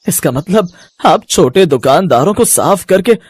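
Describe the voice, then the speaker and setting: An earnest poor village vendor, acted by a man, speaks in a high, very lively voice. He sounds pleading and a little husky, in dramatized storybook style. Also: measured pace, dry recording, exaggerated voice. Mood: troubled. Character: earnest, troubled poor mint seller. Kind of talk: Hindi moral story voice acting.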